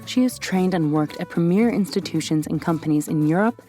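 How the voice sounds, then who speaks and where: A woman's voice: lowish voice, a little fast, warm tone, soft background music. A woman reading a formal scripted introduction of a guest. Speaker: narrator of introduction. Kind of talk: scripted narration.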